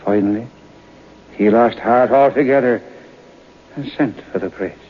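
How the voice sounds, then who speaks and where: An actor playing a knowing folk-tale storyteller, narrating an old-time radio drama in an unhurried way. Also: male voice, mid-range voice, close to an everyday voice. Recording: muffled, hissy old radio broadcast.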